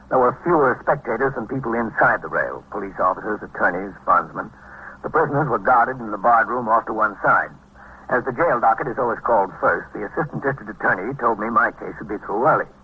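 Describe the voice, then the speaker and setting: An actor playing a steady, world-weary American police captain who narrates an old radio crime drama in a matter-of-fact, clipped manner. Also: male voice, fairly quick. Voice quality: low, husky voice. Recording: dull, band-limited vintage radio recording.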